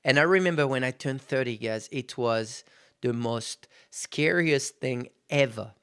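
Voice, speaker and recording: A man in his thirties at an ordinary mid pitch, hosting his own podcast in a loose, unscripted monologue. He talks frankly, swears without restraint and keeps turning to his listeners as guys. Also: measured pace, fairly bright tone, smooth. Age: 30s. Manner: candid, swears freely, addresses listeners as guys. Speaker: podcast host. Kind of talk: casual motivational monologue.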